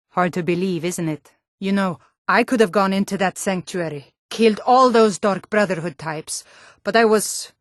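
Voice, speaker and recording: A woman's voice: fairly low pitch, dry recording, clean studio sound, close to an everyday voice. A woman playing a stern, blunt Nord city guard in a fantasy video game, guarded and plain-spoken. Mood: wry, gossiping. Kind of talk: video game character voice lines.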